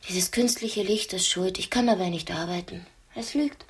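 An actress playing a defiant, scornful young woman held captive, speaking bluntly with a husky voice in a radio drama. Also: lowish voice, normal speed. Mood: scornful, demanding. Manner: blunt, cutting.

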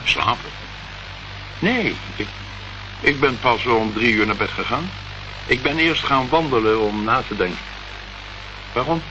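A man's voice: mid-range voice, lively intonation, measured pace, pauses often, close to an everyday voice. An actor playing an uneasy, exasperated male client in a Dutch radio play, answering in short phrases. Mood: startled, uneasy. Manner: short answers, startled questions. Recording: noisy, muffled old radio recording.

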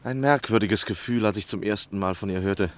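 An actor playing an earnest, cunning literary critic with dramatically weighted phrasing in a 1960s German radio drama. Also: male voice, fairly low pitch, brisk, a bit bright, natural voice. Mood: awed, hopeful. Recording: faintly noisy 1960 radio recording.

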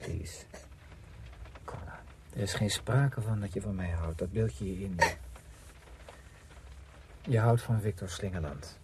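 Radio play role: man acting a proud, scornful novelist, mellow and low, faintly noisy recording. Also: measured pace, pauses often, close to an everyday voice. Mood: resigned, frank. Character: proud, irritable male writer, bitterly frank with his woman friend.

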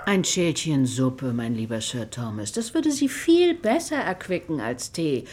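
A woman's voice: low voice, sing-song, measured pace, darkish tone. A woman playing a fussy, officious older aunt in a German radio play, insistent and solicitous. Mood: fussily solicitous.

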